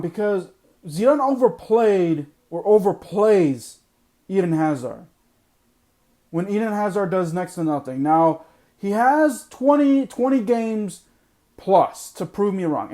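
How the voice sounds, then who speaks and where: A man's voice: high-pitched, a little mellow. A man hosting a sports podcast, ranting bluntly and repeating his points for emphasis while losing his voice. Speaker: podcast host. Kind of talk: opinionated sports podcast monologue.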